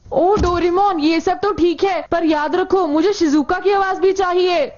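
A woman's voice: very high-pitched, steady tone, measured pace. A woman voicing a timid little schoolboy in a Hindi cartoon dub, with a thin, whiny, eager delivery. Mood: eager, curious. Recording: dull TV cartoon audio with music.

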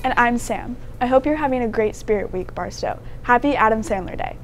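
Higher-voiced teen girl news anchor. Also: a little fast, soft background music. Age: teens. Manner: scripted announcements. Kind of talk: school newscast. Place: school TV studio desk.

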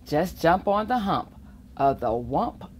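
A middle-aged woman reads a children's picture book aloud in a very slow, deliberate delivery. Her pitch swoops widely as she plays up the rhymes and adds playful asides. There is faint room noise behind her. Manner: expressive, chuckling asides, acts out the rhymes. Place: library children's reading corner, indoors.

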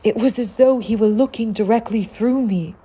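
A young aristocratic widow lost in grief. Her voice is dark and quite breathy. She speaks with formal, theatrical desperation in the manner of a gothic radio play. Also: on the high side, moderate pace. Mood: anguished. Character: young grieving noblewoman, genteel and desperate widow. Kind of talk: gothic audio drama acting.

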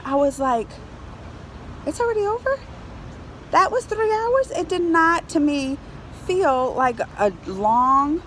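A woman in her thirties chatting casually to camera as a movie reviewer, emphatic and excitable, repeating phrases for effect. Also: sits high, rough recording. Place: outdoors on a high balcony over a city. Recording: outdoor city noise, passing cars. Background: urban traffic ambience.

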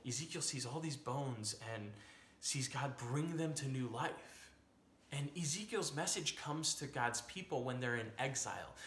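A young American man with a bright, forward voice speaks as a pastor to children in simple, reassuring words. He is recorded in an echoey room at home, with faint noise behind him. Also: medium pitch. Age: young adult. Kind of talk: children's sermon to camera. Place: small home room, indoors.

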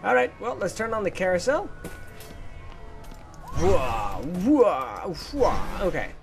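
Young adult man, gaming YouTuber, casual commentary, animated intonation, goofy exclamations, game music behind. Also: high voice, slow pace, gravelly. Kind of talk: casual let's play commentary.

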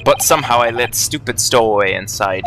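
A man's voice: mid-range voice, a little fast, music in the background, lightly acted, poor audio. A man voicing a huge, grumpy male ship's crewman in a mock Russian accent, speaking in broken English. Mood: gruff.

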